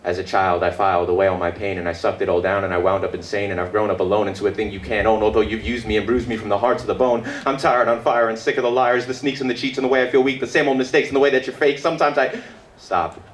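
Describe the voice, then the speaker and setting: A man recites poetry in a fairly low voice, leaning on rhythm and rhyme with dramatic, deliberate pauses. The live room rings with echo, and some background noise sits behind him. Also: level tone. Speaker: poet performing own work at a reading. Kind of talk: spoken word slam poetry recitation. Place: indoor book release reading before an audience.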